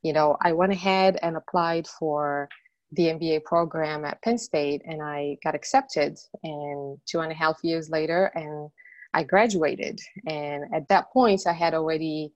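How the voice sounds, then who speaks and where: Casting: earnest middle-aged woman from Morocco, low voice, dry close sound, talks through her story with lots of fillers. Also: steady tone, a little slow, no echo, dull audio. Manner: earnest, frequent "you know" fillers. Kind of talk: interview guest telling her story.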